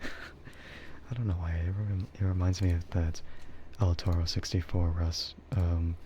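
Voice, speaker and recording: A man chatting casually and soft-spoken with his livestream viewers, rambling in a relaxed way. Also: low voice, measured pace, a little hiss or hum. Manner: relaxed, soft-spoken ASMR yapping, often chuckling. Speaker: streamer, VTuber host.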